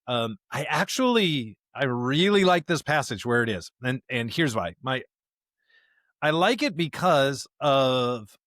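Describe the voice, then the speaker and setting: A man's voice: mid-range voice, fairly bright tone, no room sound, studio quality. A man co-hosting a podcast, talking through and reading scripture in a conversational, thinking-aloud way. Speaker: podcast co-host discussing scripture. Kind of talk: conversational Bible commentary with read-aloud scripture.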